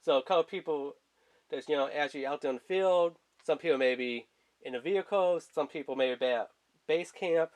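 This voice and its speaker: A man's voice: on the high side, brisk, a little mellow. A man giving a casual, rambling product review in informal, colloquial language, speaking off screen indoors. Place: indoors at a tabletop.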